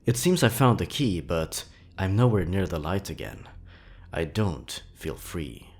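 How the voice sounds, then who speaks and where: A man narrating scripted creepy stories in a dramatic, suspenseful, theatrical way with drawn-out pauses. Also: deep voice, lively intonation. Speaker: horror story narrator and channel host.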